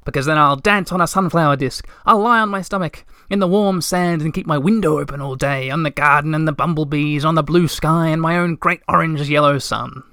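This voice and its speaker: A man doing an excitable young troll boy. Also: sits high, normal speed, few pauses, dry recording, clean studio sound, voice pushed up, heavily acted. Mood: defiant singing. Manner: shouting and pleading, sing-song.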